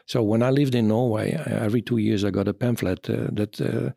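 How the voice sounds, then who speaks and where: A Dutch man in his fifties talks in English with a clear Dutch accent. His voice is low, gravelly and husky, and he stops often to search for the right word. The sound is close and dry. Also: fairly even intonation, mellow, no room sound. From Netherlands. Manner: halting, searching for words, many fillers. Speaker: retired army officer, interviewee. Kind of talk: reflective interview answers.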